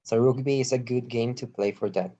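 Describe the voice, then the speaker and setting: A man's voice: mid-range voice, brisk, dark tone. Male student reading, slightly muffled audio. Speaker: English student. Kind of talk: reading aloud in class. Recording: dull online-call audio.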